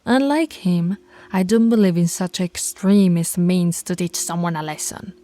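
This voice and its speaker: A woman voicing a dominant female alpha werewolf in an intimate roleplay, commanding yet affectionate, with a breathy, husky voice and slow, deliberate delivery. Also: lowish voice, warm tone, lightly acted. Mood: stern, commanding. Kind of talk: intimate ASMR roleplay voice acting.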